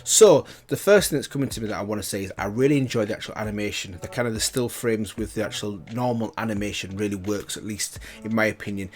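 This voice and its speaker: A man's voice: lowish voice, fast talker, few pauses. A man hosting a reaction video, giving casual review commentary in a rambling way, often rephrasing himself.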